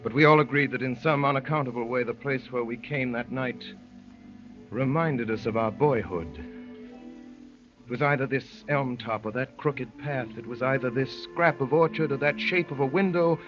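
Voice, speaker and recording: A man's voice: medium pitch, moderate pace, lightly acted. A man acting as an adventurer who narrates a wild chase in theatrical, declamatory 1930s radio drama style. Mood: hushed, wondering. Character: male adventurer recounting a wild chase, urgent, amazed. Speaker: narrator and lead actor. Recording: dull 1930s radio recording, music behind.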